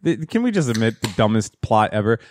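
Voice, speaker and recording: Animated, sarcastic man in his thirties. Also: fairly low pitch, fairly quick, no echo, clean studio sound. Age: 30s. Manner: sarcastic, wisecracking. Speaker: podcast co-host. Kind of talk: casual comedic chat.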